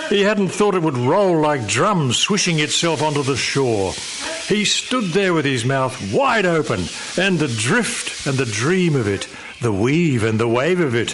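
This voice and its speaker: Man, story narration, very expressive, lyrical, clear background noise. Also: on the high side. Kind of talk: expressive scripted story narration for live radio theatre. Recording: clear background noise, live audience recording.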